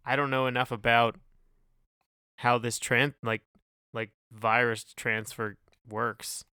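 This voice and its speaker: A man's voice: medium pitch, little pitch movement, slow pace, bright, forceful tone, clean tone, dry recording, clean studio sound. A man co-hosting a podcast in casual chat, talking in a hesitant, rambling way full of hedges. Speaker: podcast co-host.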